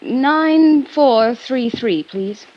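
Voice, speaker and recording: A woman playing a brisk, evasive office secretary in an old radio detective drama. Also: sits high, expressive, background noise, full character voice. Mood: brisk, polite. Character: curt, guarded female office secretary. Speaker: supporting actor. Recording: muffled old radio recording.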